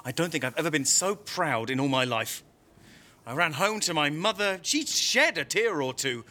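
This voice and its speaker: An angry fanatic prison guard in a radio play, swings up and down a lot, sharp and emphatic. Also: male voice, a little high, expressive, moderate pace. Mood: proud, fervent. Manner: aggrieved, zealous, emphatic. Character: indignant young fanatical Norwegian fascist prison guard. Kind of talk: audio drama dialogue.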